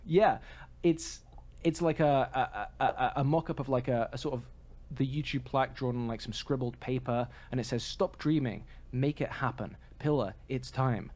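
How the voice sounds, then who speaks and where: 男播客主持人，中等音高. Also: male voice, mid-range voice, moderate pace, darkish tone. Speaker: podcast co-host. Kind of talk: casual podcast chat.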